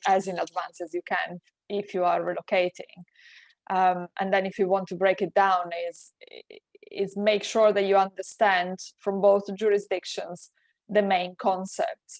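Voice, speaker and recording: Italian-accented woman, flat advisory tone. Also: lowish voice, no room sound. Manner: practical and advisory, restarts phrases often. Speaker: webinar panelist, tax advisor. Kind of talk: webinar panel answer.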